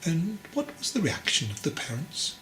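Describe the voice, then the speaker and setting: A man voicing a venerable old monastery master in a storybook, calm, measured and gently probing. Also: a little high, roomy sound, a little hiss or hum, voice pushed up. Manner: measured, questioning, teasingly patient. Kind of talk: storybook character dialogue.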